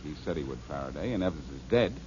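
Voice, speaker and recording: Boston Blackie, a breezy deep-voiced detective. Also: male voice, animated, very fast, darkish tone, slight background noise. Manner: breezy, wisecracking. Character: Boston Blackie, wisecracking adult male detective. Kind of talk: 1940s radio acting. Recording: muffled old radio broadcast.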